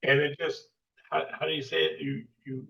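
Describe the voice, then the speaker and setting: Older man, halting and gravelly. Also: a little high, flat delivery. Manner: halting, stumbles and repeats words. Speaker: former baseball player, podcast guest. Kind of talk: casual chat. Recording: distant, lo-fi mic sound.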